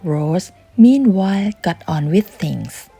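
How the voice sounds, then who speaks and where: A woman reading a children's bedtime story aloud in an expressive narrating style. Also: lowish voice, gravelly, faint music behind. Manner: slow, animated read-aloud delivery.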